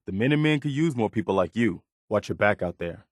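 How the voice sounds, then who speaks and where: A loyal militia officer from a wasteland video game. His voice sits on the low side and is recorded dry and close. He speaks with measured, conscientious earnestness and a touch of weariness. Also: animated, dry recording. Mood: hopeful, encouraging. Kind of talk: video game character dialogue.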